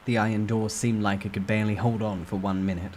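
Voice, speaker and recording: A man's voice: on the low side, flat delivery, fast talker, a little mellow. A man reading a novel aloud as a dramatic first-person narrator.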